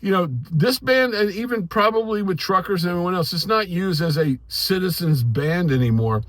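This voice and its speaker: This is a middle-aged American man with a notably high voice for a male speaker. He talks to viewers like a hobbyist YouTuber, informal, opinionated and emphatic, wandering from point to point. The sound is dry, with very little room in it. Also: normal speed, no echo. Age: middle-aged or older. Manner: informal, emphatic, rambling with "you know" and "man". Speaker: ham radio YouTuber.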